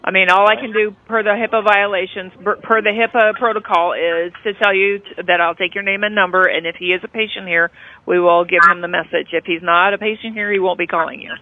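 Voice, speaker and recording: A woman's voice: mid-range voice, fairly even intonation, brisk. A woman speaks over a thin, tinny telephone line. She sounds like a nurse at an intake desk, firm and matter-of-fact, keeping to procedure. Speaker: nurse, hospital intake director. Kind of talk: businesslike phone conversation. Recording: tinny, narrowband phone line.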